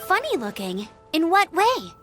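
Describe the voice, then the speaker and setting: A woman voicing an eager, confident young scout knight in a video game, brisk and determined. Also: very high-pitched, huge pitch swings, exaggerated voice. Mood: inquisitive. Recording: faint music behind the voice.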